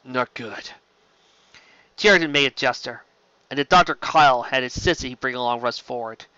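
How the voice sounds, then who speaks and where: A man's voice: mid-range voice, fairly quick, sharp tone, clear, resonant tone, no echo. A man reading science fiction fan fiction aloud as dramatic scripted narration. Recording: slightly dull, close dry mic.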